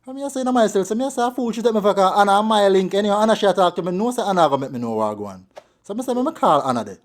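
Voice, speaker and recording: A man's voice: sits high, lively intonation, rapid-fire. A Jamaican man talking casually on a prank phone call, with repetitive questioning. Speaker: prank show host.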